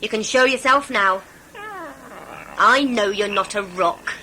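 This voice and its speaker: A woman's voice: medium pitch, measured pace, sharp tone, a little hiss or hum, rough recording. A woman playing a wilful, yearning young huntress in a sci-fi audio play, impassioned and theatrical. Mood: commanding, playful.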